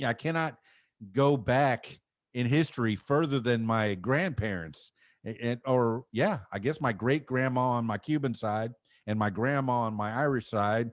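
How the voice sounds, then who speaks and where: A man's voice: medium pitch, no echo. A man in his fifties from Miami, a podcast guest who talks in a thoughtful, wry way with self-mocking jokes. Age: 50s. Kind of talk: casual interview chat.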